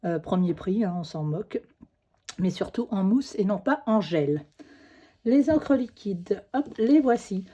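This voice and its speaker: A woman's voice: medium pitch, lively intonation, unhurried, dark tone, slightly rough. A woman with a cold, coughing now and then, chatting her way through a crafting tutorial with little fillers as she works. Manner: chatty, fillers like "hop" and "euh" while working. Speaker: crafting demonstrator.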